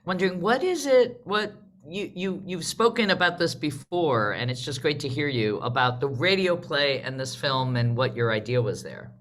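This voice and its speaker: A woman's voice: low voice, animated, moderate pace, hardly any pauses, a little hiss or hum. A woman moderates a live theatre discussion in an informal, conversational way. She speaks as the host, putting questions to her guests and responding to them. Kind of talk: casual live panel moderation.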